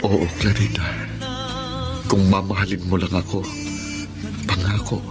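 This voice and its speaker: A man's voice: low-pitched, flat delivery, measured pace. An actor playing a sincere, devoted male suitor in a radio drama, tender and earnest, with music behind. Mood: devoted, promising. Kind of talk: Tagalog radio drama acting. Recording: prominent music bed under the voice.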